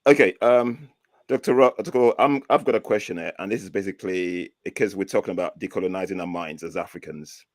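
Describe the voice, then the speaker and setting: A man's voice: sits low, fast talker, no echo. A Nigerian man co-hosting an online discussion, asking an earnest question and repeating his points for emphasis. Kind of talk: casual discussion.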